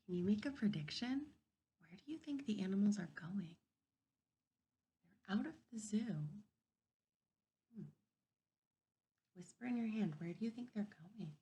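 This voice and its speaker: A woman teacher reading a picture book aloud to young children, thinking out loud and prompting them with questions. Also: mid-range voice, lively intonation, unhurried, pauses often, poor audio.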